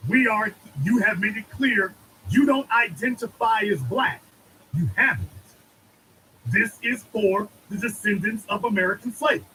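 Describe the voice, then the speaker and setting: A man's voice: high-pitched, bright, forceful tone, slight background noise, rough recording. A man giving an emphatic political monologue, preaching and repeating lines for effect. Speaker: commentator.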